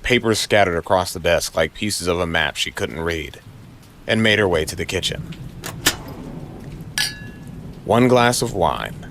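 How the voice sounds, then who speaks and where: Male noir storyteller, very low register, brooding and clipped, dramatic audio drama read over faint rainy ambience. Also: expressive, normal speed, background noise. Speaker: narrator.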